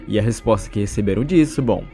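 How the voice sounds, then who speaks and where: A man's voice: fairly low pitch, fast, warm tone, soft background music. A man with a Brazilian accent narrating a recap of a horror story.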